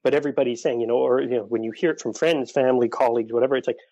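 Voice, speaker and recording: A man's voice: medium pitch, racing, dark tone, no echo, lo-fi sound. A man who is a podcast guest, speaking earnestly and searching for words in a casual interview. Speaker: podcast guest, dating coach for widowers.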